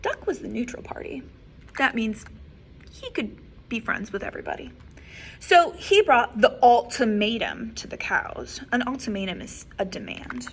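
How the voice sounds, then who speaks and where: Children's librarian, female, young adult, story time read-aloud, lively. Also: on the high side, normal speed, a little hiss or hum. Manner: expressive, animated storybook reading with soft asides.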